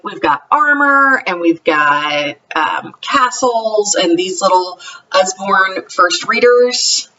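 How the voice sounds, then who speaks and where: A woman's voice: mid-range voice, pushed and bright, far from the mic, muffled recording. A woman talking casually and enthusiastically, like a homeschool vlogger reviewing books. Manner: chatty, enthusiastic. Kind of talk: casual vlog book review.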